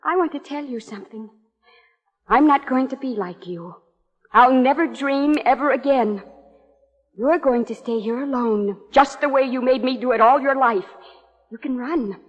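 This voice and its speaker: An actress playing a young wife's cool, composed dream double in a 1950s radio suspense drama, speaking calmly and deliberately. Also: female voice, sits high. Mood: calm menace. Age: young adult. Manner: calm, deliberate, coolly menacing. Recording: dull echoey 1950s radio recording.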